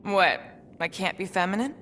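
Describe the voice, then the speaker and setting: An actress voicing a guarded, wry former alien assassin in a video game, speaking in a measured, quietly confiding way. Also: female voice, mid-range voice, lightly acted. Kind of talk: cinematic video game voice acting. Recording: reverberant hall, faint background noise.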